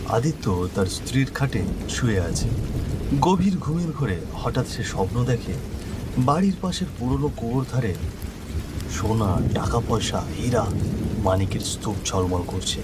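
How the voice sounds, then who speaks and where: A man's voice: medium pitch, sing-song, normal speed, heavy background noise. A man narrating a scripted horror story. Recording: loud rain and thunder behind voice. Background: rainstorm, thunder.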